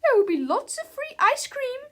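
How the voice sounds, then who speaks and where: A woman voicing a mean, bossy female schoolteacher in a children's storybook, snapping and shouting her commands harshly. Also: extremely high pitch, sing-song, moderate pace, voice pushed up. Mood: bossy, snappy.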